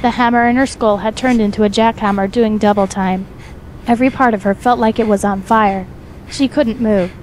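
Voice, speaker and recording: A woman's voice: mid-range voice, fairly even intonation, moderate pace, darkish tone, slight background noise. A woman narrator reading a dramatic fiction story from a script.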